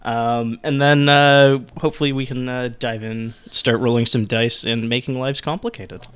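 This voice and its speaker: A man running a tabletop roleplaying podcast, his voice sitting at an ordinary middle pitch. He talks in a loose, rambling way, cracking small jokes as he sets things up. It comes through an online voice call. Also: fairly even intonation, normal speed, a bit bright, clean tone. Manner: rambling, jokey. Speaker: game master. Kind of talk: podcast tabletop game hosting.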